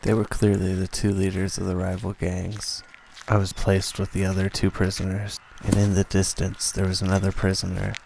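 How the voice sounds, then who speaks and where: A man playing a weary, bitter survivor who narrates a post-apocalyptic radio play in a grim, deliberate way. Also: low voice, monotone, gravelly, noisy background.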